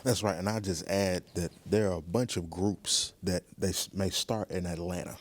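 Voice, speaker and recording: A man answering as an interview guest in a measured, explanatory way. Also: low voice, fairly even intonation, no echo. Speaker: museum director, podcast guest. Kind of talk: conversational interview.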